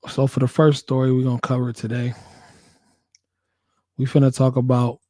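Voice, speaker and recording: An American man hosting a casual livestream, laid-back and slangy, repeating his phrases, with a voice hoarse from a cold he is getting over. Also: mid-range voice, monotone, moderate pace, dark tone, no room sound, studio quality. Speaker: livestream host, working nurse.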